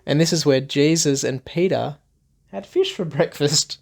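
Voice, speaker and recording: A man's voice: fairly high pitch, unhurried, creaky. A man reading a Bible story aloud for children, expressively, in simple repeated phrases with questions to his young listeners.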